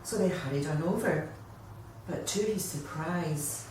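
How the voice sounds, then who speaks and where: A woman reading a rhyming children's picture book aloud in an expressive, theatrical storytelling manner. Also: deep voice, unhurried. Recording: echoey room, distant mic, faint noise.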